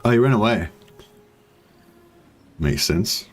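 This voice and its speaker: A man with a deep voice giving casual, rambling commentary while playing a strategy game. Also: slow. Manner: rambling, relaxed, many fillers. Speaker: gaming streamer. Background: faint game music.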